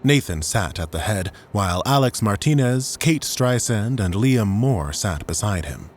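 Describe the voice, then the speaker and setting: A man's voice: fairly low pitch, lively intonation, unhurried. A man reading scripted dramatic fiction as an audiobook narrator.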